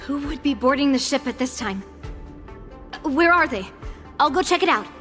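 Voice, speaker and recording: A woman's voice: high-pitched, animated, moderate pace, a bit bright, long reverb tail, faint music behind. A woman voicing a confident, bold young girl sword warrior in an anime-style game. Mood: businesslike. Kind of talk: English anime game voice acting.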